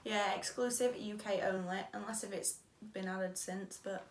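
A woman's voice: medium pitch, steady tone, moderate pace. A young woman with a British accent hosting a chatty unboxing vlog in a playful, informal way. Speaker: vlog host. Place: small home bedroom, indoors. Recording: roomy, distant mic, audible noise.

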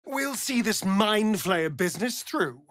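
Theatrical, drawling sardonic vampire. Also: male voice, high-pitched, lively intonation, slow pace, fairly bright tone, no echo, studio quality, exaggerated voice. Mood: brisk, teasing. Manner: arch, drawling, mocking, theatrical. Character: sardonic, theatrical, flirtatious male vampire spawn rogue. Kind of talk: video game character voice lines.

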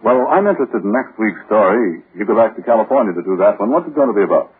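1940s announcer man, lowish and husky, radio banter, thin old recording. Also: sing-song, fast, narrow, tinny audio.

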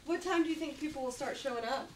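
A woman's voice: high voice, fast talker. A woman acting at a table read, reading film dialogue aloud. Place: meeting room with a conference table. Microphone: distant camera microphone. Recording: roomy, distant camera mic, background noise.

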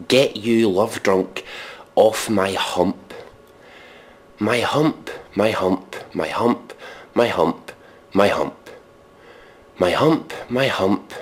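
A man giving a sarcastic dramatic reading with commentary, deadpan, mock-earnest and sweary. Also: fairly low pitch.

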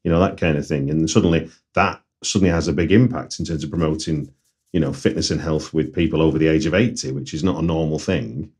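Podcast host, male, Manchester accent, low raspy voice, relaxed conversational delivery. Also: fast talker. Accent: Mancunian. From Manchester, England. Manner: conversational, frequent backchannel murmurs. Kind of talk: casual interview chat.

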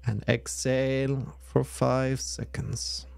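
A man leading a guided yoga nidra meditation in a soothing, measured way with long pauses. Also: medium pitch, level tone, gravelly, a little mellow, clean tone, no room sound.

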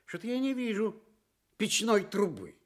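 A man plays a scholarly male stork in a children's radio story. He is fussy and formal, picking through wordy sentences slowly. The pitch moves about freely. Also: very animated. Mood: fussy, alarmed. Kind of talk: fairy-tale radio reading in character.

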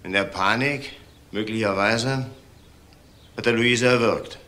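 Crime drama widower, middle-aged, low register, controlled and evasive, halting delivery. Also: male voice, pushed and bright. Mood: subdued, hesitant. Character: composed, evasive widowed husband with a mistress. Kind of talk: German television crime drama acting.

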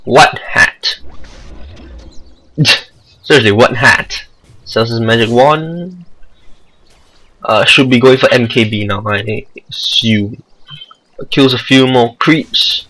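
A man with a Singaporean accent giving casual amateur commentary over a video game match, excitable and rambling. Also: mid-range voice, slow pace, long pauses, a little hiss or hum, rough recording. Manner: excitable, rambling asides, self-deprecating.

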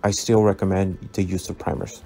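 A man narrating a scale model build as a relaxed tutorial, easygoing and full of ums and uhs as he recommends each step. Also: deep voice, brisk, creaky. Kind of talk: relaxed instructional voice-over. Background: soft background music.

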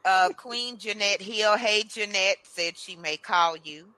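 A woman's voice: medium pitch, slow, sharp tone, low-quality recording. A woman hosting a casual radio talk show, playful and chatty, reading out listener comments as she goes. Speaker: host.

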